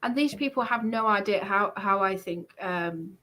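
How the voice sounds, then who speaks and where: A woman's voice: medium pitch, normal speed, pushed and bright. A woman chatting casually on a live stream, talking in a defensive, insistent way.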